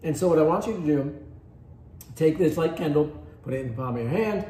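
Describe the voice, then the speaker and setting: Easygoing man as tasting instructor, mellow tone; echoey room, faint hiss. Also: on the high side, lively intonation, a little fast. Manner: easygoing, guiding step by step. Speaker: cheese shop founder leading a tasting.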